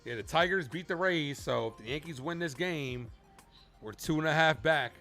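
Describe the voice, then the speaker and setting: A man hosting a live fan baseball stream, calling play-by-play with excitable calls and chatty asides to viewers. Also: a little high, moderate pace, soft background music. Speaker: livestream host and baseball play-by-play commentator.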